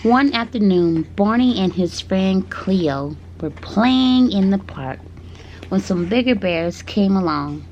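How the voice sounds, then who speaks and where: A woman reading children's stories aloud to her baby, speaking gently and breaking off for playful asides. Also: medium pitch, expressive, slow pace, dull audio, a little hiss or hum.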